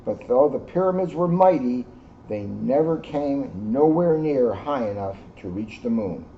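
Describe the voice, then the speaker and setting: A man voicing a hopeful, gently encouraging female moon from a children's storybook. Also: medium pitch, expressive, unhurried. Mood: hopeful wonder. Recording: dull lo-fi sound, faint background noise.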